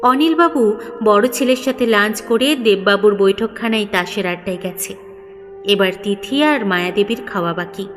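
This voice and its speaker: A woman's voice: medium pitch, moderate pace. A woman narrating a scripted story dramatically as a storyteller. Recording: long reverb, background music.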